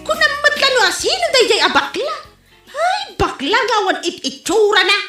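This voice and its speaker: Woman acting a shrill, excitable village mother, echoey. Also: normal speed, soft background music, exaggerated voice. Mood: indignant. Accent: northern Philippine. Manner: exclamatory, melodramatic. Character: touchy, excitable village mother, easily offended. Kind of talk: comedy audio drama acting. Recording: roomy, echoey voice recording.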